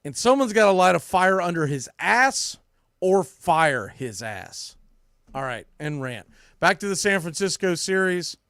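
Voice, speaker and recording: High-pitched American man talking baseball, dry sound. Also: big pitch swings, unhurried, fairly bright tone, smooth, dry recording. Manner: blunt, emphatic, mildly salty language. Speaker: sports podcast host and play-by-play broadcaster. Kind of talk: opinionated baseball commentary.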